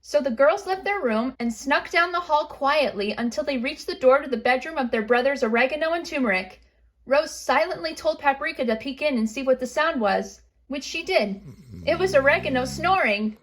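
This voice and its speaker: A woman with a high, light voice narrates a children's story. She reads it out as a simple storybook bedtime tale. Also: expressive, moderate pace.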